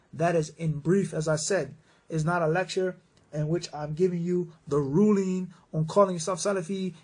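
Guy with a high raspy voice doing islamic q&a advice, stresses stuff by repeating it, drops arabic phrases in. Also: darkish tone. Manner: mixes in Arabic religious phrases. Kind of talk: religious advice, question and answer talk.

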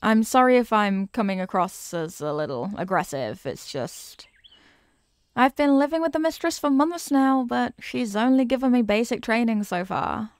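A young apprentice witch, played by a woman, chats to the listener in an ASMR roleplay. Her voice is on the high side, eager and expressive, with a kindly, teasing manner. It is recorded close and dry, with no room around it. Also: normal speed, clean tone, dry recording. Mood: earnest, indignant. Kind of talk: ASMR character roleplay, scripted.

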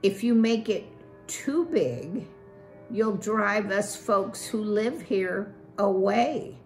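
A woman's voice: mid-range voice, animated, slow, faint music behind, pitched above the performer's own voice. A woman reading a storybook, voicing a timid, polite old male rodent who hesitates and stammers. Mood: nervous.

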